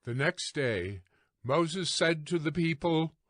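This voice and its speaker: A man narrating scripture from a script, solemn and deliberate, as in an audio Bible. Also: a little high, expressive, fairly bright tone, no room sound, studio quality. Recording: music behind the voice.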